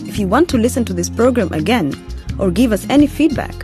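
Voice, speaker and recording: A woman's voice: a little high, lively intonation, quick pace. A woman presenter reading a scripted radio programme outro. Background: music.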